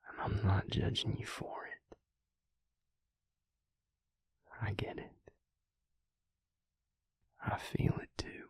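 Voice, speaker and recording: A man playing a protective, brooding vampire lover in an intimate audio roleplay, with a husky, breathy voice and a hushed, intense, deliberate delivery. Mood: tender, pleading. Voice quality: husky, breathy, gravelly low voice. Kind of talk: intimate audio roleplay acting, speaking to the listener.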